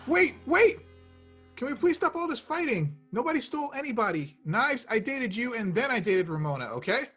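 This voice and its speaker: A man's voice: very high-pitched, very animated, normal speed, fairly bright tone, husky, faint music behind, full character voice. A man playing a stubborn, lovesick young slacker hero in a script table read, petulant and blunt. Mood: flustered pleading.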